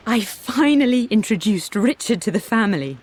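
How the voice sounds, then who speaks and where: A woman's voice: mid-range voice, animated, moderate pace, breathy edge, soft background music. An actress playing a young, strong-willed English noblewoman with a refined English accent, reading a personal journal in a video game. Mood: wry, disdainful. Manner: refined, wry. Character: aristocratic young Englishwoman, defiant, wry, in love.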